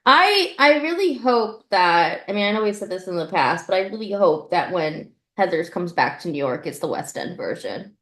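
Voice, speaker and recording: A young woman co-hosting a casual chat, bubbly and excitable, laughing often. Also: on the low side, moderate pace, few pauses. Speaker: podcast co-host.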